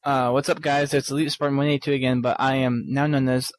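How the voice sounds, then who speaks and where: A man co-hosting a podcast, reading listener emails aloud haltingly, with frequent ums and restarts. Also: medium pitch, flat delivery, dry recording. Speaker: podcast co-host.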